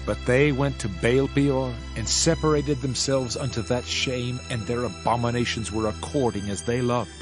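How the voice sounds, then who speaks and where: A man's voice: mid-range voice, natural voice. A man playing a solemn Old Testament prophet in a dramatized Bible reading, declaiming judgment in a grave, formal manner. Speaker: scripture narrator. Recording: music under the voice. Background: music.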